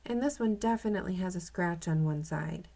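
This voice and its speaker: A woman's voice: on the low side, a little fast, vocal fry, dark tone. A woman reading aloud as an earnest, curious boy detective in a children's storybook. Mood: earnest, thoughtful.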